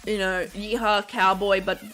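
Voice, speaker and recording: A woman's voice: mid-range voice, little pitch movement, measured pace, fairly bright tone, soft background music. An Australian woman with a casual Australian accent, chatting on a livestream as a VTuber. She talks loosely, laughs often and swears freely. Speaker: VTuber streamer.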